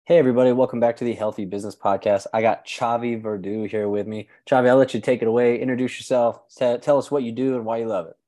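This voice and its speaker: A man's voice: medium pitch, steady tone, fast talker, hardly any pauses, dark tone. A man hosting a podcast, chatting in an easygoing way with enthusiastic reactions. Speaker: podcast host. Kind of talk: casual interview chat.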